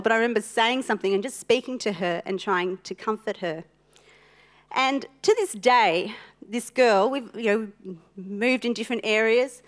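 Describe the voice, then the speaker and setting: High-voiced woman preaching, Italian native speaker. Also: a bit bright. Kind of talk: sermon with personal storytelling.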